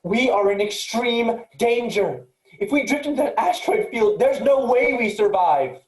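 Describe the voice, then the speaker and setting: A woman's voice: on the high side, animated, normal speed, a little mellow, roomy sound, close to an everyday voice, poor audio. A woman playing an earnest, insistent, rule-abiding programmer aboard a spaceship in a virtual staged play reading. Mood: urgent.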